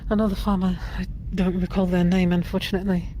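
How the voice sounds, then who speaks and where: Woman playing composed, even-toned village woman. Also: on the low side, quick pace, slight background noise, lightly acted. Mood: sober. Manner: formal, courteous phrasing. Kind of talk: improvised VR roleplay acting.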